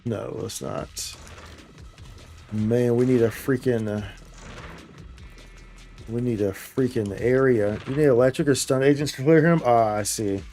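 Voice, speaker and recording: Male game streamer, mellow and relaxed tone, slangy and repetitive, faint music behind. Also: mid-range voice, unhurried, pauses often. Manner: slangy, repeats his phrases. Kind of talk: casual live gameplay commentary.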